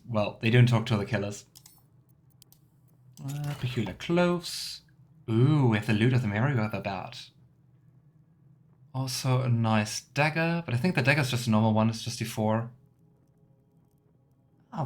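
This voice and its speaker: A man hosting a let's play, chatting casually and musing aloud as he goes. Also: mid-range voice. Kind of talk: casual game commentary. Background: game music.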